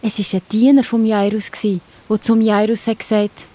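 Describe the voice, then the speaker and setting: Mellow Swiss German woman storyteller. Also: medium pitch, little pitch movement, normal speed. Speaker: narrator. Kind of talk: children's Bible story narration. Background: faint music.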